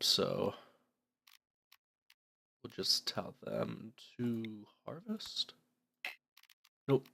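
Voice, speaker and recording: A man's voice: on the low side, a bit bright. A young man with a stuffed-up, nasal voice from a cold, casually commenting on a game while thinking aloud with many fillers. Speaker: let's play host.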